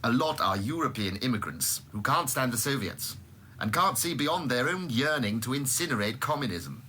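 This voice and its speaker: An actor playing a blunt, sceptical, irritable British scientist of high standing in a radio drama. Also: male voice, lively intonation, normal speed, sharp tone, a little hiss or hum. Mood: dismissive. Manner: blunt, plain-spoken.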